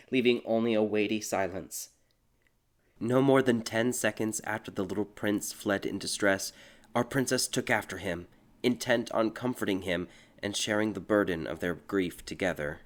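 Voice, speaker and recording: A man giving a theatrical, scripted narration of a dark fairy tale as an audiobook. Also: lowish voice, moderate pace. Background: music.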